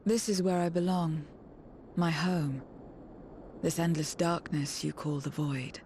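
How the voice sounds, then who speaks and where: A low, aloof otherworldly void being. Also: female voice, fairly even intonation, takes it slowly, darkish tone, slight background noise. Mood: mournful. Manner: solemn, terse, guarded. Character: aloof, world-weary female voidsent returned to true form. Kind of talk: video game cutscene acting. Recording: music behind.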